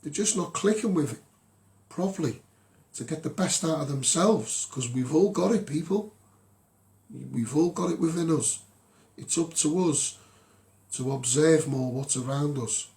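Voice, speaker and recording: A man's voice: a little high, unhurried, creaky, long pauses, darkish tone. A man with a Scouse accent speaks off the cuff to his followers as a campaigner, in an earnest, exhortative monologue. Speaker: social media campaigner addressing followers.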